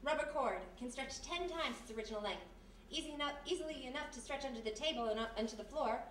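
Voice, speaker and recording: A woman with an American accent playing a sassy, quick-witted girl Friday in a radio mystery, brash and slangy. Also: high voice, animated. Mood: shrewd. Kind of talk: live 1940s-style radio mystery acting. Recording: noisy echoey hall, distant stage mic.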